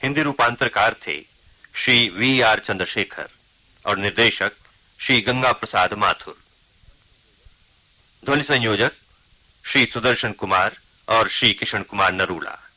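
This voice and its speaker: A man working as a radio announcer, reading scripted credits in a measured, formal manner. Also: fairly low pitch, sharp tone, rough recording.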